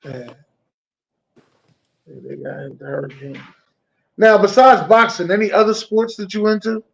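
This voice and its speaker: A man's voice: sits high, normal speed, gravelly, dull audio. An American man hosting a casual podcast interview, speaking in slangy, laid-back phrases and often repeating himself. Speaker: podcast host interviewing a guest.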